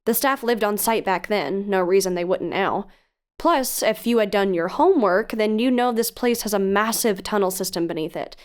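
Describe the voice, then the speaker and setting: A woman's voice: medium pitch, moderate pace, clear, resonant tone, lightly acted. An actress playing a cautious, analytical female researcher who investigates for a podcast, earnest and articulate, in an audio drama. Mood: reasoning. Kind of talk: audio drama acting, dialogue and narration.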